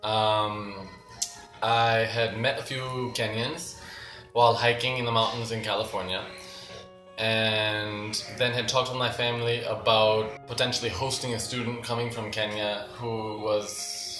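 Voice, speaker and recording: A young man from Ohio speaks in a low but bright, forward voice as a podcast guest. He is easygoing and explanatory and talks quickly in bursts. The room is echoey, with faint music behind him. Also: level tone. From Ohio, United States. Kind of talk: casual interview.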